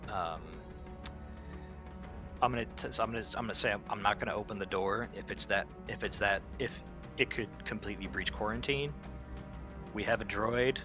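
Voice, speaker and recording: A man playing a tabletop roleplaying game, chatting informally and jokingly, in and out of character. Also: low voice. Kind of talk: casual in-character game chat. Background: background music.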